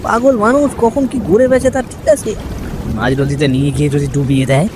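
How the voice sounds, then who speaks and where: A man's voice: high voice, sing-song, fast talker, over music. A man playing a dismissive, gossipy village man in an audio story, with colloquial, opinionated talk. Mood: scoffing. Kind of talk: audio story dialogue acting. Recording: clear rain and water noise.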